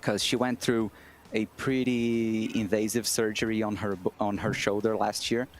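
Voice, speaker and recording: A man's voice: lowish voice, level tone, normal speed. A man speaking as a guest analyst on a sports broadcast, earnest and admiring, repeating phrases for emphasis. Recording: faint background noise.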